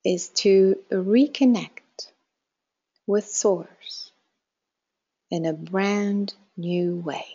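A woman guides a meditation at an extremely unhurried pace. Her delivery is calm and measured, in a mid-range voice, with phrases that settle softly at the end. The recording sounds a little muffled. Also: medium pitch, slightly rough. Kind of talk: guided meditation, slow spoken instructions with long pauses.

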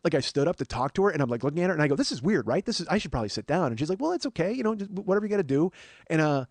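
A man's voice: fairly high pitch, big pitch swings, racing, a little mellow, no room sound. A middle-aged man hosting a comedy podcast, rambling casually and profanely, interrupting himself with digressions and getting worked up. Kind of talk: rambling comic monologue, casual chat.

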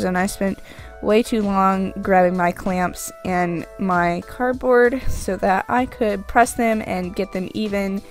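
A young woman with the sniffles giving a rambling, self-deprecating voice-over for her craft video. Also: mid-range voice. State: stuffy nose from the sniffles. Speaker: craft vlogger. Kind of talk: casual voice-over narration. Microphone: desk microphone, voice-over.

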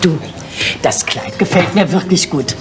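A woman's voice: lowish voice, lively intonation, a little slow, over loud music. An actress playing a chatty, genteel older lady on holiday in naturalistic film dialogue. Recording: clinking dishes, noisy room.

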